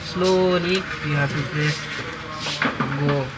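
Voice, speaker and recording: A young man, a native Nepali speaker, talking through a phone repair in halting English. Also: on the high side, fairly even intonation, over loud music. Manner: halting non-native English, step-by-step instructions. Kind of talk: repair tutorial. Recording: loud background noise.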